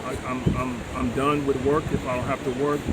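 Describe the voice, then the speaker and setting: A man's voice: medium pitch, level tone, brisk. Middle-aged American male interview guest, mid-range voice, earnest and conversational, recorded outdoors with heavy background noise. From United States. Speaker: vlogger and retired military veteran, interview guest. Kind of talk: casual interview talk. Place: open-air beachside deck. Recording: loud wind and ambient outdoor noise.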